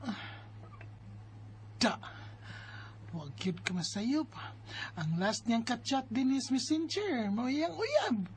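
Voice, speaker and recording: A woman's voice: a little high, big pitch swings, slow pace, long pauses, darkish tone, a little hiss or hum. A woman playing a worried, protective older sister with a Filipino accent in a radio drama, emphatic and exasperated.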